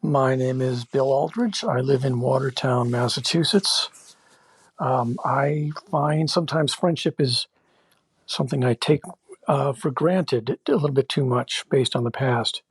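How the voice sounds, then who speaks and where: A man's voice: medium pitch, unhurried, breathy edge, no room sound. A man giving a brief, matter-of-fact comment. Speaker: listener commenting.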